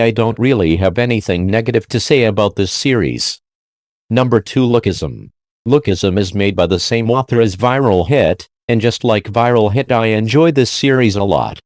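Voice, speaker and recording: Low-voiced man narrating a countdown review. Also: moderate pace, dry recording, clean studio sound. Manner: informal, slangy. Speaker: video host and reviewer.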